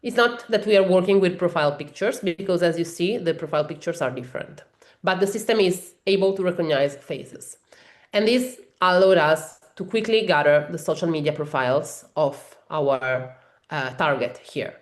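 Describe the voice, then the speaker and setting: A woman with an Italian accent, a native Italian speaker, walking through a software demo in a webinar and explaining each step, with frequent fillers and restarts. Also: lowish voice, moderate pace, roomy sound. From Italy. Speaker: software trainer.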